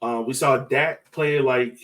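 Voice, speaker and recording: A man hosting a casual sports fan podcast, talking in an animated, slangy, exclaiming way. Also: mid-range voice, takes it slowly, far from the mic. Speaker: podcast host.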